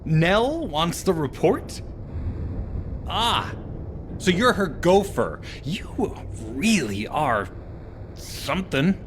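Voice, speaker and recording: A man's voice: fairly high pitch, big pitch swings, drawn-out words, long pauses, a little hiss or hum, heavily acted. A man playing a snide, moody scientist in a video game, sarcastic, dismissive and theatrical. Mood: irritated, dismissive. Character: snide, moody, irritable male scientist who dropped out. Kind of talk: video game cutscene acting.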